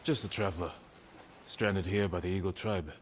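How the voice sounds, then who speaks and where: An actor playing a stoic, resolute samurai in a video game, speaking in a measured, earnest, formal way. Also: male voice, low voice, slight background noise, natural voice. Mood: guarded. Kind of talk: restrained game voice acting.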